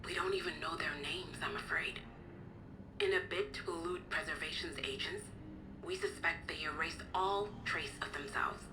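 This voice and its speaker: A grave and courteous rebel woman played by an actress explains things in formal, measured video game dialogue. Her voice sits a little low for a woman. Game music plays clearly beneath her. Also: close to an everyday voice, low-quality recording. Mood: apologetic. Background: game background music.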